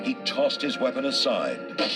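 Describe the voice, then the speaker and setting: A man's voice: medium pitch, big pitch swings, a little slow, loud music behind the voice. A man reading scripted, dramatic storybook narration in a suspenseful storytelling manner.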